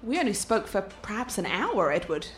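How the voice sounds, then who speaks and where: Over faint music, a woman acts a cultured young art scholar in a radio drama. Her mid-pitched voice moves up and down a great deal as she speaks, articulate, confident and playfully affectionate toward her fiancé. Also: very animated, a little fast, lightly acted. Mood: enthusiastic. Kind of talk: radio play dialogue.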